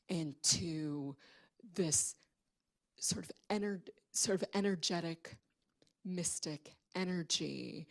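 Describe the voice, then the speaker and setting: A woman giving an unscripted, reflective talk about performance, deliberately searching for her words. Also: low voice. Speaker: actor and writer speaking on a panel. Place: public library event before an audience.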